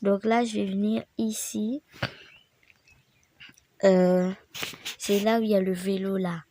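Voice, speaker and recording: A woman's voice: mid-range voice, steady tone, slow, warm tone. A woman with a head cold, rambling through a casual game tutorial and dropping into whispered asides. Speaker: gaming video creator.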